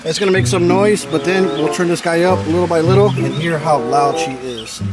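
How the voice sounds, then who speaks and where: A man giving casual, excited vlog commentary as host of a car audio channel, with an informal, slangy, hyped-up delivery. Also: a little high, moderate pace, over loud music.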